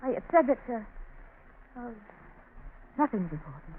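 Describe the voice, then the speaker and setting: A woman's voice: fairly high pitch, sing-song, normal speed. An actress playing a tense, secretive young woman in a dramatic 1940s radio style. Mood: nervous, evasive. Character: tense young woman, secretive, firm with her frightened mother. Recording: tinny, noisy 1940s radio recording.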